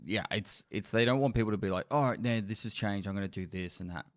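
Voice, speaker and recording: A man with a fairly low voice hosts a casual podcast. He talks in a chatty, joking way and slips into exasperated rants. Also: fast talker. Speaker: podcast host.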